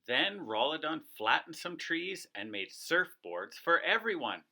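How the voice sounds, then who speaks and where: Very bright, animated male storyteller. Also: on the high side, measured pace, creaky. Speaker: co-reader of a children's read-aloud. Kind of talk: animated children's storybook narration.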